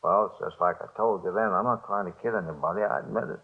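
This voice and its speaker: Nervous gravelly small-time bookie. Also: male voice, deep voice. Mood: nervous, defensive. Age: middle-aged. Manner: halting, repetitive, nervous hedging. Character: jumpy small-time bookmaker confessing to police, pleading and evasive. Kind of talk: 1950s radio drama acting. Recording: dull old radio recording.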